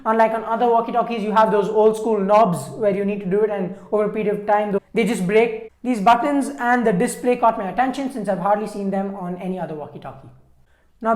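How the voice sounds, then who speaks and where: A woman's voice: a little high, a little mellow, reverberant. A woman giving a conversational product review, explaining things informally.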